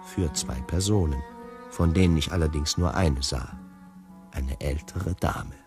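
An actor narrating a radio play in the first person as a wry, fastidious tiger, in a deliberate literary manner. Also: male voice, rumbling low, music in the background. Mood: wry reflection. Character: wry, fastidious tiger telling his own story.

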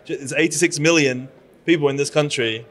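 A man's voice: a little high, a little fast, a bit bright. A man in his thirties with a British accent, a conference founder talking eagerly in an interview, with plenty of fillers and restarts, into a handheld mic at a conference booth. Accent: British English. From London. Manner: chatty, enthusiastic, many fillers and restarts. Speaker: conference founder and PR agency owner. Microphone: handheld microphone.

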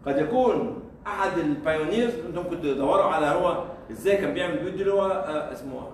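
A man's voice: fairly high pitch, animated, very fast. An Egyptian man with an Egyptian accent giving an informal university lecture, throwing out rhetorical questions, repeating himself and chuckling. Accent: Egyptian Arabic. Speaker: guest lecturer. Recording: echoey room, mic far off, faint noise.

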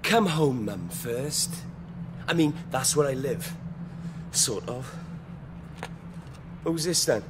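A man's voice: medium pitch, lively intonation, takes it slowly, pauses often, noisy background. A young man acting a rebellious British teenage boy just out of jail in a radio drama. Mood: wary, hurt.

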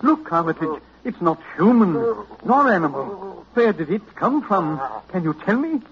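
A man's voice: high-pitched, big pitch swings, moderate pace. A man acting a frantic, horrified university professor, agitated and questioning, in 1940s radio drama. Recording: dull, slightly noisy old radio recording.

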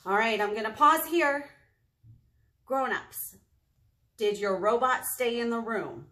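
An American woman in her thirties hosting a children's storytime, expressive and talking directly to small children in a room. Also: fairly high pitch, slow pace. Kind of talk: children's storytime read-aloud with singing. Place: small indoor meeting room. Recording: roomy indoor echo.

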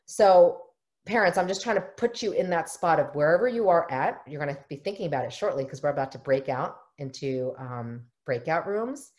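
A woman with a lowish voice leads a parenting workshop over a video call, speaking into her webcam from a home office. She is middle-aged and talks in an earnest, explanatory way. She often slips into short imitations of other people. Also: expressive, normal speed, darkish tone. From United States. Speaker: family therapist leading a workshop. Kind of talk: online workshop presentation.

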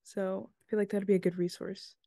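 A woman's voice: mid-range voice, little pitch movement, a little fast, gravelly, warm tone, dry recording. A woman playing a tabletop roleplaying game over a video call, talking casually in character on a close mic.

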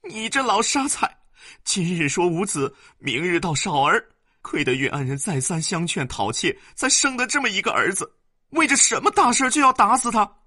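A male storyteller voicing the wailing, furious wife of a rich man, with a shrill scolding lament. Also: big pitch swings, normal speed, sharp tone, no room sound, studio quality, heavily acted. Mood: wailing fury.

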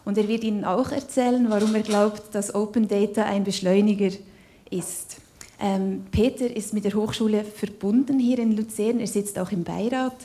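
Mellow-voiced woman moderator. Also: mid-range voice, fairly even intonation, moderate pace. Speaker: conference moderator. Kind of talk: introducing a speaker. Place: large lecture hall.

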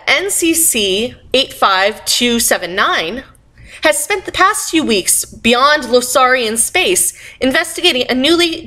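A woman's voice: on the high side, fairly bright tone. A woman presenting a podcast news segment in a scripted narration style.

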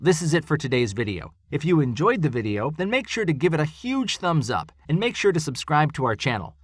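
Guy doing upbeat video narration, kinda high voice, bouncy, music under it. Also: very animated, brisk, no room sound, studio quality.

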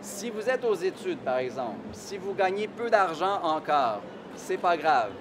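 A man's voice: sits high, sing-song, measured pace, background noise. A middle-aged man with a Québécois French accent giving a conference talk on a handheld mic, explaining steadily with frequent hesitations. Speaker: immigration consultant. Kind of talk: conference presentation. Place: large exhibition hall with audience. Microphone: handheld microphone.

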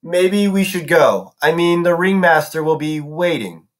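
A man's voice: fairly high pitch, animated, unhurried. A man doing a tense, wary girl. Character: sharp, wary young girl.

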